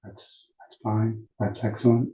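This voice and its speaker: A man teaching meditation at a retreat in a calm, deliberate, unhurried way with long pauses between phrases. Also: lowish voice. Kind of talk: guided meditation instruction at a retreat. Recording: muffled, roomy echoing sound.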